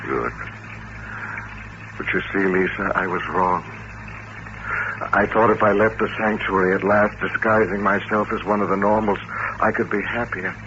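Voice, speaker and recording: An actor playing a bitter, lonely mutant with hypnotic powers in an old-time radio drama, intense and commanding. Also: male voice, fairly low pitch, steady tone, normal speed, pauses often, lo-fi sound, close to an everyday voice. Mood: resigned, commanding. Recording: noisy, band-limited vintage radio recording.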